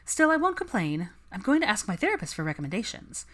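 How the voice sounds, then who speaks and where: A woman voicing a chipper, chatty pregnant young woman in animated, gushing audiobook dialogue. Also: medium pitch, fast talker, voice pushed up. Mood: cheerful.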